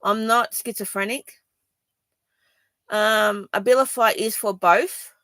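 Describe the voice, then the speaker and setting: A woman's voice: mid-range voice, fairly even intonation, slow pace, pushed and bright, no echo. An Australian woman from Sydney speaking to viewers in a personal video, rambling and defensive, with many ums and restarts. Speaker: vlogger.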